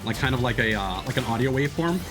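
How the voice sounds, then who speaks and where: A male game streamer commenting casually as he plays. His delivery is playful and animated, swinging widely in pitch, with clear music behind him. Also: fast talker. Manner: joking, playful. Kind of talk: casual live let's play commentary.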